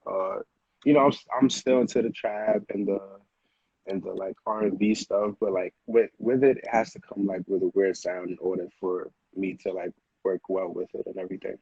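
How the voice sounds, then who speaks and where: A man speaks casually and slangily, often repeating himself. His voice is low and husky. The recording is dull and lo-fi. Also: steady tone, moderate pace, no echo. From Honduras, moved to the Bronx at eight. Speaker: music producer, interview guest. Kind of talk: casual conversational interview.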